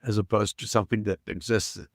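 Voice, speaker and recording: A man's voice: lowish voice, fairly even intonation, a little fast, vocal fry, no room sound, clean studio sound. A middle-aged man from Israel, talking as a podcast guest with frequent hesitations and filler sounds. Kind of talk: casual interview talk.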